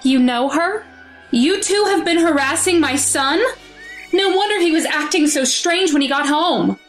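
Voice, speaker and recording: A woman's voice: high voice, animated, measured pace, soft background music. An actress playing a protective, fretting mother of a schoolboy in an audio drama, with a nagging, anxious manner. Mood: suspicious.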